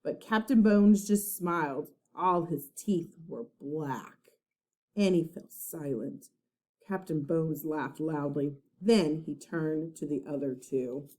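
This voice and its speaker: A woman reading a children's storybook aloud like a teacher, with expressive, dramatic narration. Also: sits low, slow pace.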